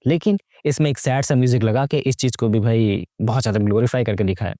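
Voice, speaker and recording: A man's voice: medium pitch, fast, mellow, no echo, studio quality. A young man giving casual, sarcastic movie commentary, slangy and mocking, full of rhetorical questions and mixing English into his Hindi. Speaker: YouTube movie reviewer.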